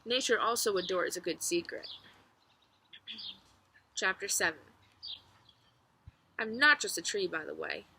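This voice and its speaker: A woman reading a children's book aloud as narrator, expressively, with clear storytelling phrasing and emphasis. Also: mid-range voice, expressive, measured pace, pauses often, pushed and bright, slight background noise.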